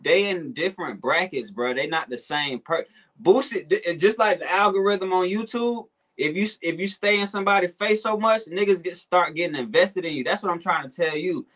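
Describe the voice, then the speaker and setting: A man's voice: sits high, moderate pace, a bit bright. A man co-hosting a podcast, arguing emphatically in casual banter, repeating "check it out" and "bro". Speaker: podcast co-host. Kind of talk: casual argumentative chat.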